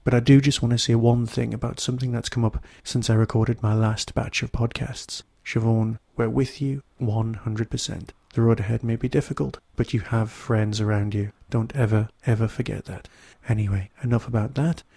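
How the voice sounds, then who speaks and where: A man hosting a podcast alone, talking to listeners in a reviewing style, his voice hoarse from a throat infection. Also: mid-range voice, flat delivery, normal speed, few pauses, dark tone, no room sound. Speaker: podcast host. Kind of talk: solo review talk to listeners.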